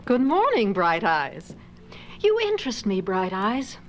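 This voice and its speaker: Casting: inquisitive female chimp doctor in an audio drama, gentle and theatrical, wide swooping intonation. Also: medium pitch, wildly swooping pitch, measured pace, a little hiss or hum, low-quality recording. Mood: curious, gentle. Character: kindly, curious female chimpanzee scientist. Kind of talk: 1970s audio drama acting.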